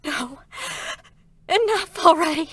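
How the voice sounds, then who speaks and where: Casting: tormented android executioner, guarded yet raw, slow halting speech with big pitch swings, game drama. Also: female voice, expressive, slight background noise, exaggerated voice. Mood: desperate, pleading. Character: anguished, guarded female executioner combat android. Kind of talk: dramatic staged reading of a game story. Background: musical accompaniment.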